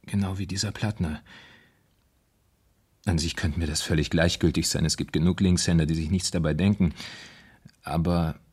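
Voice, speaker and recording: Husky, anxious, low-voiced man. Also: steady tone, a little mellow. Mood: earnest, hesitant. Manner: hesitant, halting, repeating himself. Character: anxious, troubled man confiding a dreadful fear. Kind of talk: German radio crime drama acting.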